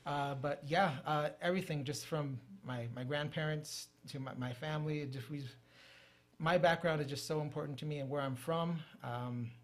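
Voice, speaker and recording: A man from the United States, a poet guest, who recites verse in a measured rhythm and speaks more quickly and trails off in conversation, in a TV talk studio. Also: a little high. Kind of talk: poetry recitation and interview answers.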